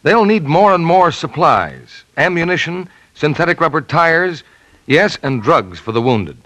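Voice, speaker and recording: A man's voice: a little high, very animated, a little slow, fairly bright tone, dry recording, low-quality recording. A man with an American accent announcing and reading scripted sponsor messages in 1940s radio style. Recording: 1940s radio broadcast recording.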